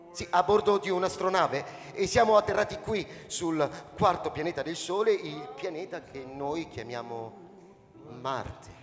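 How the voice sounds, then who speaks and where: A man playing a wary, easily flustered old spaceship captain in a radio drama, commanding yet halting. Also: fairly high pitch, close to an everyday voice. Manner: commanding, turning halting and stammering. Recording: reverberant hall with music behind.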